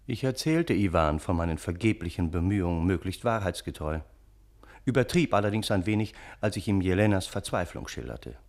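Low-voiced, courteous gentleman narrator. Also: lively intonation, brisk. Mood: concerned. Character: smitten, courteous gentleman friend who narrates the tale. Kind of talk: literary first-person radio play narration.

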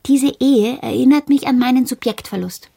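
An actress playing an earnest, probing wife with memory loss in a modern radio drama, speaking in measured, matter-of-fact, formal sentences. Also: female voice, sits high, creaky, warm tone, slightly rough, no echo, studio quality, heavily acted. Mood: quiet, pensive. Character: amnesiac wife and job seeker, earnest, probing, persistent.

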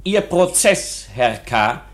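Senior lawyer: pompously formal, evasive, talks down to others, lively melody, radio drama. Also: male voice, a little high, slow. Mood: self-important. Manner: formal, condescending. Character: self-important, evasive older lawyer.

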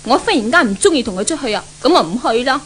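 High-voiced spoiled, willful young Hong Kong woman. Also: sing-song, quick pace, fairly bright tone, breathy edge, full character voice. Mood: scornful, petulant. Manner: haughty, petulant, mocking. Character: spoiled, willful, thrill-seeking young woman, doted-on only daughter. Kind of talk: 1970s Cantonese radio drama acting.

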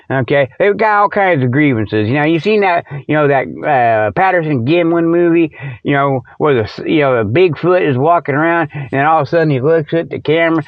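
Male comedy podcaster, colloquial and digressive mock pitchman, somewhat high voice, dry close sound. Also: no room sound. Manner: folksy, colloquial speech. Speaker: podcast host. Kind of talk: rambling comedic monologue. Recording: dull, lo-fi radio-style audio.

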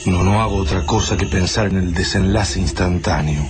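An actor playing a fearful, reluctant hero who gravely recounts his ordeal at the end of the world in a radio drama. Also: low-pitched, normal speed, close to an everyday voice. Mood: frightened. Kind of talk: dramatic first-person radio drama narration. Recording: music bed under voice.

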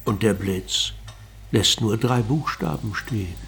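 An actor playing a solemn, poetic narrator, speaking deliberately and elegiacally in a literary radio play. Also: male voice, fairly low pitch, gravelly, over music. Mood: solemn, elegiac. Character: solemn, poetic male narrator closing a mystery.

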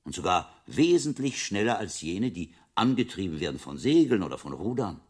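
Learned friar in his fifties, very deep and husky, didactic visionary with lively intonation, radio drama. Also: normal speed, exaggerated voice. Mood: learned enthusiasm. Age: 50s. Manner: didactic, assured, expressive. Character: learned friar around fifty, assured visionary teacher. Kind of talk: radio play acting.

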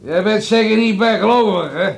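A rude, drunken hotel guest in a radio drama. His voice is high-pitched and full of gravel, and he speaks coarsely, like a bully barking orders. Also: moderate pace. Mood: taunting, menacing.

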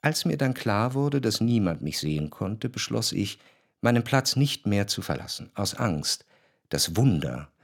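A refined, reflective memoirist narrates a literary radio play. His voice sits on the low side and is recorded very dry. He phrases long sentences in a measured, deliberate way, as a careful observer. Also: big pitch swings, gravelly, no room sound, exaggerated voice. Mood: reflective, observant.

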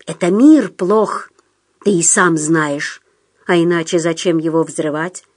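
An insinuating hallucinated fellow acted by a woman reader coaxes and nags with plaintive familiarity. He is unhurried and conspiratorial, as a character in an audiobook. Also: on the low side. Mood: urgent coaxing. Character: insinuating male hallucinated phantom, nagging and conspiratorial.

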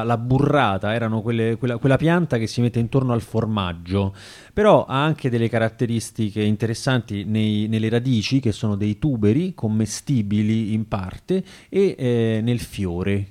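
A man in casual radio chat as a studio guest, halting as he searches for words. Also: lowish voice, a little mellow. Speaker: radio studio guest presenting a theatre event.

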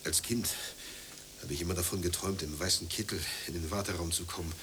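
A man's voice: low voice, fairly even intonation, fast, a bit bright. An actor playing a poor, prudish father hungry for respect, grumbling and ranting in a radio play. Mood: brooding, bitter. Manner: grumbling, ranting, swinging between brooding and heated outburst. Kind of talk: German radio play acting. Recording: noisy 1980s radio play recording.

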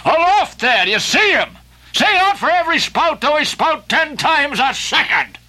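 Guy doing a booming old sea captain, obsessed and brooding, raised pitch, bright ringing tone. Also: sing-song, measured pace, heavily acted. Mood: defiant. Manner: booming, impassioned, archaic declamation. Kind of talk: audiobook character voicing.

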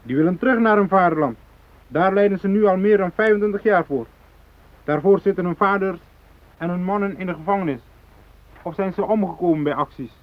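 An actor in a radio drama playing a fanatical, unyielding hijacker who speaks in cold, threatening declarations. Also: male voice, sits high, brisk, lightly acted. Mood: bitter, defiant. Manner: declarative, threatening, ideological speechmaking. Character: fanatical South Moluccan hijacker, unyielding, cold, righteous militant. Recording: thin phone-like radio drama recording.

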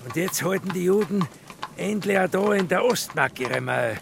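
A rural Austrian caretaker and petty Nazi official in a radio drama. His highish, gravelly voice gushes servility with a sneer underneath, over a faintly noisy scene. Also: lively intonation, normal speed, full character voice. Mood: proud, menacing. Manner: obsequious, effusive, with sneering undertone.

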